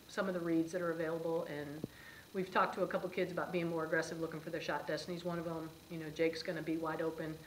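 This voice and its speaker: Brisk low-voiced woman coach. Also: reverberant, slight background noise, rough recording. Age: middle-aged. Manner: brisk, matter-of-fact, with frequent "um" and "you know". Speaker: head basketball coach. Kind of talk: post-game press conference. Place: press room before a sponsor backdrop, seated at a table.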